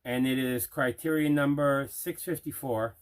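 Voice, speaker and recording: A man chats casually as the host of an unboxing video. His voice sits in a middle pitch, and he leans on simple, emphatic, repeated phrases to show his enthusiasm. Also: fairly even intonation, normal speed, smooth.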